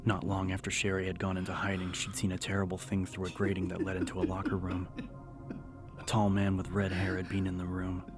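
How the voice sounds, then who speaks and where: Low-pitched, even-toned male horror narrator. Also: fairly quick. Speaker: audiobook narrator. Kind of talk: scripted dramatic horror story narration. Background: music.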